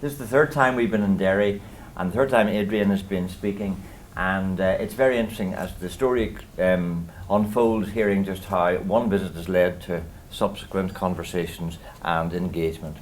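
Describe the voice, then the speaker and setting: Deep-voiced man welcoming the audience. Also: steady tone, moderate pace, hardly any pauses, a little hiss or hum, low-quality recording. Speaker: event host from the peace project. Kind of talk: welcoming introduction. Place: outdoor garden event.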